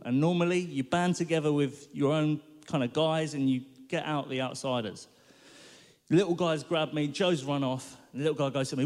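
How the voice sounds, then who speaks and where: A man sharing his testimony from a stage, telling an animated story with self-mocking humour. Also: a little high. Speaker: guest speaker. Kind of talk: personal testimony sermon. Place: large hall or tent at a live worship event. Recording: live hall reverb.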